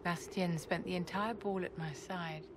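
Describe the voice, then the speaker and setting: An actress playing a proud, middle-aged noblewoman mage in a game cutscene, speaking formally and with measured elegance while holding grief in check. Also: fairly low pitch, steady tone, background noise, natural voice. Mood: restrained grief. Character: poised, proud noblewoman mage, grieving with restraint. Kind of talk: dramatic game cutscene acting.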